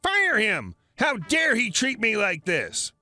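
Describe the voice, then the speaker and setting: A man doing a furious entitled mother in a shop, pitched far up into a shrill, swooping screech. She barks orders and hurls insults, every line sharp and bright. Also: huge pitch swings, slow, dry recording, soft background music, heavily acted. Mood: shrieking. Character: shrill entitled middle-aged woman shopper, rude and furious.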